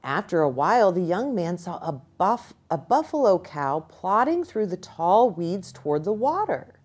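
Casting: middle-aged American woman, children's story reader, very lively intonation, unhurried pace, mid-range pitch. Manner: animated, deliberate, pausing within phrases. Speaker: pastor reading to children. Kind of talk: expressive children's storybook read-aloud. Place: small indoor room with bookshelf and armchair.

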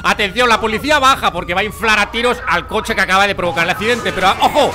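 A man with a Spain Spanish accent giving animated, colloquial, joking commentary on gameplay as a video creator. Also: sits high, quick pace, over music.

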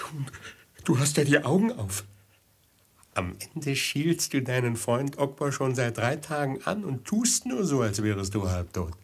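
A man's voice: medium pitch, slightly rough, exaggerated voice. An actor playing a gleeful, chatty hangman who fusses over his condemned prisoner with theatrical, cajoling affection in a 1950s radio play.

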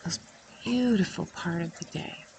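A woman guiding a meditation in a soothing, unhurried way, with long pauses and breathy phrases that trail toward a whisper. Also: on the low side, darkish tone, slight background noise. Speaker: guided meditation host. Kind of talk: guided meditation narration.

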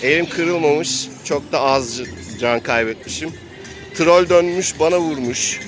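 A man's voice: a little high, unhurried, faint music behind. A man improvising narration for a tabletop role-playing game, telling the action as if he were living it. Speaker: game master and player.

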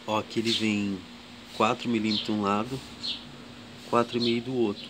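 A man from Brazil hosting a casual tool vlog at his workshop bench, slowly counting wrench sizes and chatting to viewers. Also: on the low side, flat delivery, background noise. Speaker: tool channel host. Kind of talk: casual show-and-tell vlog.

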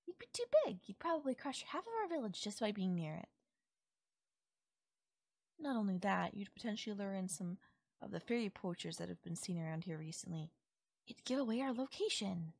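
A woman voicing a doting, possessive yandere fairy in an intimate audio roleplay, coaxing and softly teasing. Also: medium pitch, animated, fairly quick, creaky, husky, dry recording, clean studio sound. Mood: playful, coaxing. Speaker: voice actor. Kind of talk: intimate audio roleplay, ASMR-style acting.

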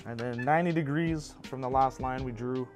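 A man's voice: medium pitch, moderate pace, soft background music. A man in his thirties in a bowling pro shop, casually walking through a how-to with practical personal asides.

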